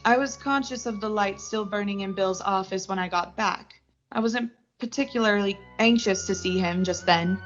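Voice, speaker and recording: A woman's voice: medium pitch, normal speed, close to an everyday voice. A young woman playing an anxious, lovestruck office worker in a 1940s-style suspense radio drama, dramatic and pleading. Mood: tense recounting. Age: young adult. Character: anxious lovestruck female office worker narrating her ordeal. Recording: dull lo-fi audio, music behind.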